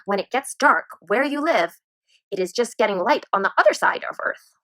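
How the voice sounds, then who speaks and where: A woman teacher reading a children's nonfiction book aloud in an expressive storytime manner. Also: mid-range voice, normal speed, dry recording.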